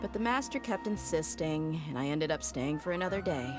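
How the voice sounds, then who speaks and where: An easygoing woman mercenary in a game, her voice sitting low for a woman. She talks frankly and good-naturedly, with game music behind her. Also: animated, brisk. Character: tough friendly female desert mercenary, young adult. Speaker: mercenary, former bodyguard. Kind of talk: game voice acting.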